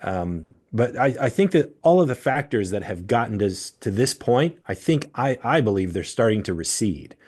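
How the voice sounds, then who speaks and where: A man hosting a podcast, explaining his views at length in a measured, steady way. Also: mid-range voice, breathy edge. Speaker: podcast host. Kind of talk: casual political discussion.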